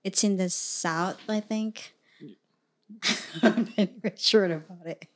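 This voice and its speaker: A woman with a Filipino accent chats on a casual podcast, soft-spoken and hesitant. Her first language is Tagalog, and she often pauses and fills gaps as she searches for words. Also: mid-range voice. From Philippines. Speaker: podcast co-host. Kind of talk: casual chat.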